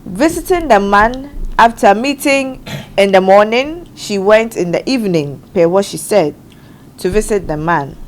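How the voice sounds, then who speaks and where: Woman talk show host, measured explainer, slight background hiss. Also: medium pitch, expressive, poor audio. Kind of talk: studio panel discussion.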